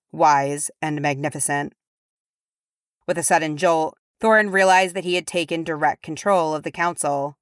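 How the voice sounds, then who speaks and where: A woman's voice: low voice, a bit bright, no echo, studio quality. A woman reading a scripted story aloud as an audiobook narrator. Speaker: audiobook reader.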